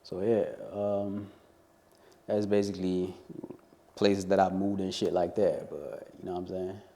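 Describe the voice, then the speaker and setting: Young man, from Ghana, very low voice, relaxed mumbling talk, long reverb. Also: unhurried. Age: young adult. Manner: laid-back, mumbled, trailing off with slang. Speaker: guest musician. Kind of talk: casual interview chat.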